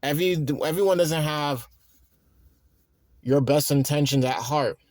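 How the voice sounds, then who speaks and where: A man's voice: a little high, darkish tone, no room sound, studio quality. Weary thirty-year-old man rambling. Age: 30s. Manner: rambling, halting restarts. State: tired and worn out. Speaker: vlogger. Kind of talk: reflective personal monologue.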